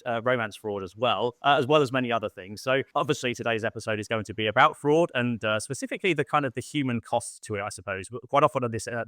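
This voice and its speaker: A man hosting an interview podcast, talking quickly with many uhs and restarts. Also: medium pitch, fairly bright tone, no room sound, studio quality. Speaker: podcast host.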